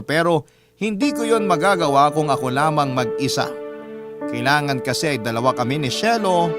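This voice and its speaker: An actor playing an earnest husband with a Filipino accent, telling his sorrowful story in a measured, confiding way for a radio drama. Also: a little high, lightly acted. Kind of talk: radio drama letter narration.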